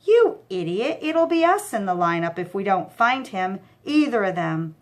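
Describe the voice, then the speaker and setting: A woman's voice: mid-range voice, very animated, normal speed, lightly acted. Woman playing a snappish, bossy male crook. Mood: scolding. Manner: snappish, scolding, exasperated. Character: bossy, irritable male goon, smarter of two crooks. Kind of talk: children's audiobook character voice.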